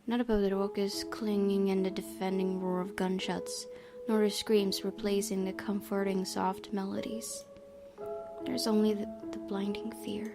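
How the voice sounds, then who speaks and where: A young woman with a slightly raspy voice reads a horror visual novel aloud at a medium pitch, hushing some lines down to near whispers for effect. Her asides are hesitant and full of fillers. Loud game music plays under her. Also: little pitch movement, moderate pace. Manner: hesitant asides with frequent fillers, dramatic hushed reading. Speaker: let's play host.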